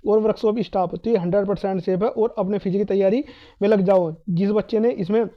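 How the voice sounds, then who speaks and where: A man's voice: high-pitched, fairly even intonation, fairly quick, muffled recording. A man giving an informal explainer to exam candidates, emphatic, repeating his points and asking himself questions. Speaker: exam cutoff advice presenter.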